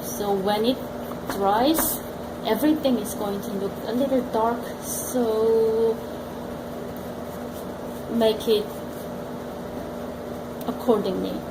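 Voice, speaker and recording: A woman with a Korean accent, teaching painting and talking slowly and thoughtfully while she works. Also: on the high side, reverberant, noisy background. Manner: slow and thoughtful, talks while working. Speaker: art teacher. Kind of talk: painting demonstration. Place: art gallery studio room.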